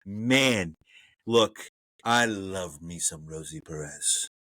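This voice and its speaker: Blunt Brooklyn man, bright voice. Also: sits low, slow pace, dry recording. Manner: blunt and profane, reads trivia aloud. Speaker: podcast co-host. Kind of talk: casual movie review chat.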